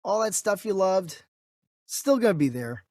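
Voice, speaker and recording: A man's voice: high-pitched, very animated, measured pace, creaky, darkish tone, no echo, studio quality. A man co-hosting a podcast in casual conversation, thinking aloud as he reacts and comments. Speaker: podcast co-host.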